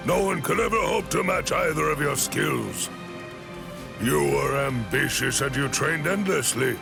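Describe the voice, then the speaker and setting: Stern old sword master, heavy rasping creak, formal and imposing, anime game drama, music underneath. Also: male voice, fairly high pitch, lively intonation, a little slow, exaggerated voice. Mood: solemn regret. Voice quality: gravelly, creaky. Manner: commanding, formal, severe. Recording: orchestral game music behind the voice.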